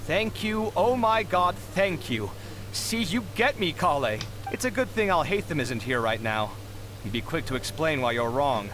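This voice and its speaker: An actor playing an earnest, theatrical and warm-hearted young architect in a video game. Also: male voice, on the high side, big pitch swings, normal speed, background noise. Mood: flustered. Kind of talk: English game voice acting.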